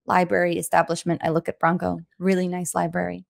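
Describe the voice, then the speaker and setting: A woman's voice: lowish voice, completely flat delivery, vocal fry. A woman playing her character in a tabletop game, hesitant and playful. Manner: slow, hesitant and playful, often laughing. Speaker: player.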